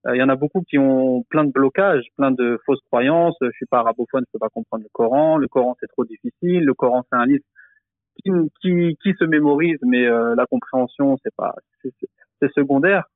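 A man who teaches the Quran, explaining slowly in a podcast discussion, hesitant, with many fillers and restarts. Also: mid-range voice, no room sound, narrow, tinny audio.

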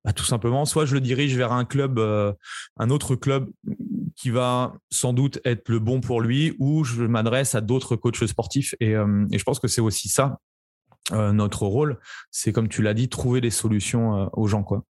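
A man's voice: mid-range voice, fairly even intonation, normal speed, a little mellow, no room sound. Podcast host, male, easygoing and hesitant, dry close sound. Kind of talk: casual interview chat.

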